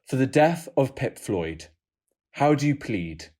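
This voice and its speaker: A man's voice: mid-range voice, very animated, darkish tone. Man acting a formal, stern courtroom judge. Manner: formal, measured, official. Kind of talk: audio drama acting, formal reading.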